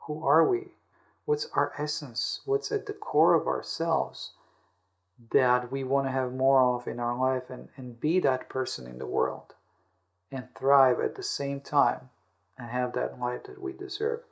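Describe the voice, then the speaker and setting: A man with a medium-pitched voice and a noticeable creak explains personal-growth ideas in an interview. He sounds earnest and often repeats or rewords his phrases as he builds a point. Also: level tone, measured pace. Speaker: podcast host and author being interviewed. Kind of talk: explanatory interview talk on self-help.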